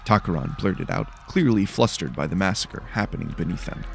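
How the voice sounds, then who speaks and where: Low, gravelly male fantasy narrator. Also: lively intonation, a little fast, soft background music. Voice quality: somewhat tired, worn voice. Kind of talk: scripted dramatic narration of dark fantasy.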